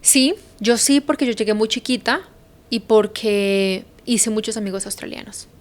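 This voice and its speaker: A woman's voice: mid-range voice, moderate pace. A Colombian woman in her thirties, a podcast guest talking reflectively and thinking aloud with lots of fillers. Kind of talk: casual conversation.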